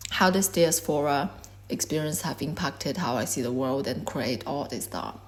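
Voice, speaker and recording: A woman in her twenties whose first language is Korean gives a measured, reflective talk about her art. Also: sits low. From China. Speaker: artist. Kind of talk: prepared artist talk.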